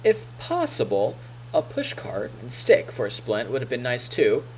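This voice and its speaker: A man's voice: medium pitch, huge pitch swings, normal speed, darkish tone, a little hiss or hum, low-quality recording. A man streaming, reading narration from a visual novel aloud and adding casual comments. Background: game music.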